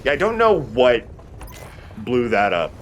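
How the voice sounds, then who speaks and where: A man's voice: medium pitch, huge pitch swings, measured pace, a bit bright, dull audio, a little hiss or hum. A man giving casual commentary as he plays a shooter game, as host of a let's play.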